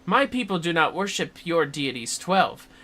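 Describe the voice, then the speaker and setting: A woman voicing a solemn shinobi master from a game, instructing a pupil in a grave, measured, formal manner. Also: on the low side, very animated, fairly bright tone. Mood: solemn, reverent. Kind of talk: game dialogue read aloud.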